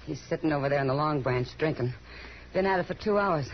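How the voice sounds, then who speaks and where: A woman's voice: sits low, fast. An actress playing a worried, caring and plainspoken saloon woman in a 1950s radio western. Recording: muffled, noisy old radio recording.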